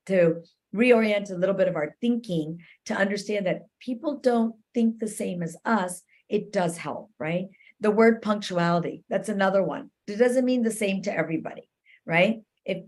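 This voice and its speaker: A woman's voice: medium pitch, a little mellow. A woman giving advice as an interview guest, explaining conversationally and often checking in with the listener. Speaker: interview guest, cultural competency expert.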